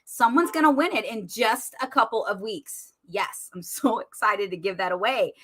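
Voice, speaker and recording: A woman, chatty and bubbly, hosting a live makeup demo while losing her voice and clearing her throat. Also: mid-range voice, normal speed, fairly bright tone. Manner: chatty and bubbly, addressing viewers as y'all. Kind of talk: casual live-stream makeup tutorial.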